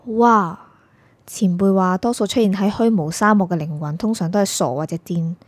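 A woman's voice: mid-range voice, moderate pace, no echo, lightly acted. A woman with a Hong Kong Cantonese accent acting a stern, impatient spirit prosecutor in a radio drama, curt and authoritative. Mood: curt, scornful. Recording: music behind.

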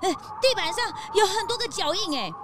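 A woman voicing an excitable, easily frightened young sidekick who complains and exclaims in a children's audio detective drama. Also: extremely high pitch, a little fast, heavy background noise. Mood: freezing, complaining.

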